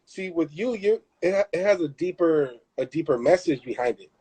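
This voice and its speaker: A man's voice: on the high side, normal speed, breathy edge. Emphatic young man. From Southern California. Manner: emphatic, animated, repeats himself. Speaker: host. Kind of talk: casual live chat.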